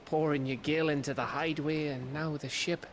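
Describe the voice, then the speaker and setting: An actor playing a rough-spoken, loyal common-born outlaw with a British accent in a video game. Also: male voice, on the high side, steady tone, normal speed, a little hiss or hum, natural voice. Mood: humble, apologetic. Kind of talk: video game drama acting.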